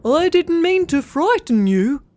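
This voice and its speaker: A woman voicing a shy, polite young male rain cloud with a bellyache, who pleads and apologizes in drawn-out words. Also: very high-pitched, very animated, darkish tone, slight background noise, pitched above the performer's own voice, full character voice. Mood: polite, anxious. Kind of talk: storybook character voice.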